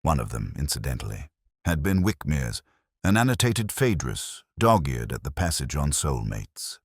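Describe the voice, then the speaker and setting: A man playing a weary, wry older police inspector, narrating a literary audio drama in a measured, understated, reflective way. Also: extremely low pitch, very animated, husky, no room sound, clean studio sound, heavily acted. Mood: wary, reflective.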